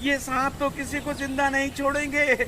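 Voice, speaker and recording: A man's voice: extremely high pitch, level tone, normal speed. A man playing a frightened, wailing smuggler merchant in a cartoon moral story. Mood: panicked. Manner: wailing, pleading. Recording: very noisy background.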